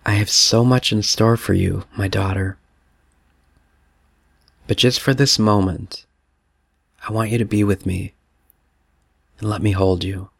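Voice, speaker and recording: A man voicing God the Father as a tender, loving male spirit, repeating gentle affirmations. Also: fairly low pitch, fairly even intonation, measured pace, creaky, long pauses, slightly rough, dry recording, clean studio sound, full character voice.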